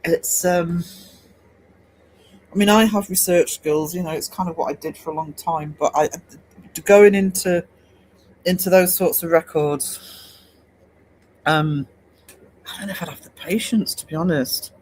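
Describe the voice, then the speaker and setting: Livestream host, man, high-pitched, rambling and chatty with his viewers. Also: measured pace, long pauses, darkish tone, a little hiss or hum, rough recording. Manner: chatty, rambling, many fillers and restarts. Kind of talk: casual chat reading live comments.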